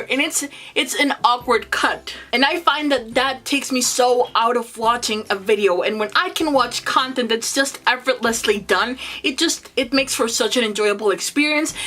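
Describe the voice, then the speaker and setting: Bright-voiced woman with a Spanish accent. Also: fairly high pitch. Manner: earnest, encouraging, emphatic. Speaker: booktuber. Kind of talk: advice vlog to camera.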